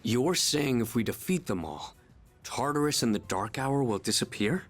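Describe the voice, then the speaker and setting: A man playing a guarded high school senior boy in a video game, speaking tersely and seriously. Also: lively intonation, moderate pace, soft background music, lightly acted. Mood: questioning.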